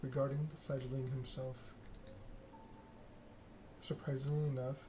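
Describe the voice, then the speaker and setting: A man reading a story game aloud in an ASMR style, with hushed, measured narration and dialogue. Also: medium pitch, little pitch movement, noisy background.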